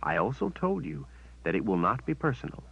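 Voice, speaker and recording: A man voicing a smug, menacing witch woman who speaks in a cold, measured way. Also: fairly low pitch, huge pitch swings, gravelly, slightly rough, full character voice. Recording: dull, dry sound.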